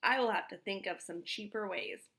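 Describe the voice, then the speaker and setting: A woman voicing a practical, thrifty father in a children's story, in a measured, deliberate manner. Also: mid-range voice, creaky, bright, forceful tone. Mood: resigned.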